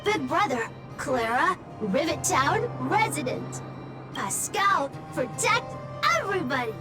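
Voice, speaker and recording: A woman voicing a small, simple, loyal robot in a video game, speaking in clipped, halting fragments. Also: high voice, big pitch swings, over loud music, full character voice. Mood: loyal, obedient. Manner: halting, clipped one- and two-word phrases.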